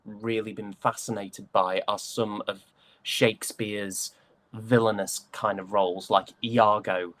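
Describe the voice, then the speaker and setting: A man from the UK speaking reflectively as an interview guest, thoughtful and hesitant, often pausing mid-thought. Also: fairly low pitch, little pitch movement, fairly bright tone. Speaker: interviewed stage and voice actor.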